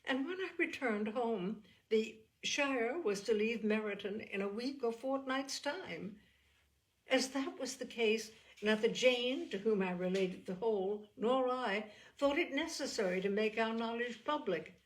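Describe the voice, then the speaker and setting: A woman acts out a young Regency gentlewoman from a classic novel. She is candid, earnest and quick to indignation, speaking in formal, emphatic phrases with animated stress. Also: a little high, lightly acted, rough recording. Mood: regretful, emphatic.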